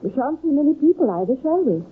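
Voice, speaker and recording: An actress with a British accent playing a genteel, uneasy lady in an old radio drama, gentle, polite and hesitant. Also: high voice, sing-song, fast. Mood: uneasy, doubtful. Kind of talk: old-time radio acting. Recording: old radio recording, very narrow band.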